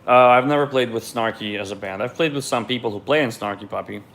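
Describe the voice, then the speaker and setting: A man's voice: mid-range voice, fast talker, a bit bright, slight background noise. A man from Ukraine, raised in Israel, reads viewer questions aloud on a live stream and answers them in a loose, candid way.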